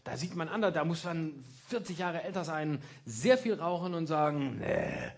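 A man's voice: on the high side, animated, moderate pace. A man performing stand-up comedy on a live stage, sarcastic and teasing the audience. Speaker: stand-up comedian hosting a show.

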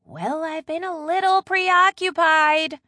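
A woman voicing a bossy, exasperated younger sister in an audiobook, loud, emphatic and full of complaint. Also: fairly high pitch, very animated, moderate pace, no room sound, studio quality, pitched above the performer's own voice. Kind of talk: audiobook character dialogue.

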